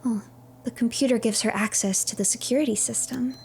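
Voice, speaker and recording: A woman streamer narrating a game playthrough as a third-person story, with a hushed, dramatic storyteller's delivery and a creaky voice. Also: on the high side, fairly even intonation, a little fast, faint music behind.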